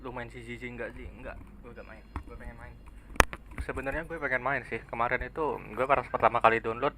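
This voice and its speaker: A man's voice: medium pitch, steady tone, fast, heavy background noise. A young man from Indonesia, chatting casually and slangily over his gameplay. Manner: laid-back, slangy, mumbling asides. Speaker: game streamer.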